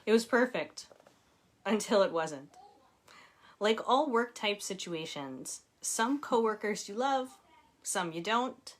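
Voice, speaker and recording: A woman's voice: medium pitch, lively intonation, slow pace. A woman author reading her own book aloud at home, laughing often and breaking off into casual personal asides. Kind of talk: audiobook reading with chatty asides. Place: home with children nearby.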